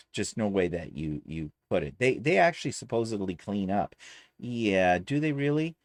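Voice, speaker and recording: A man's voice: fairly low pitch, big pitch swings, normal speed, dry recording, clean studio sound. A man from Canada chatting casually in a home vlog, rambling with self-deprecating asides from his sewing room. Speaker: quilting vlogger.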